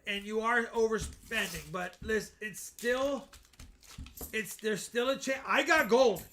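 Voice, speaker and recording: A man of about fifty chatting excitedly with viewers as he hosts a live stream. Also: sits high, unhurried, slight background noise. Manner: excited, chatty, keeps talking to viewers by name. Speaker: coin-hunting live streamer. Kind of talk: casual live-stream chat while searching coin rolls.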